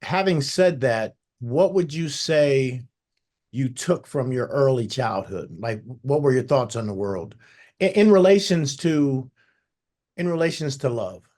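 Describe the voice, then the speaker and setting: A man's voice: medium pitch, animated, measured pace. Reflective man hosting a podcast. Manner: warm, reflective, with false starts. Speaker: podcast host. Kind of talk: casual interview.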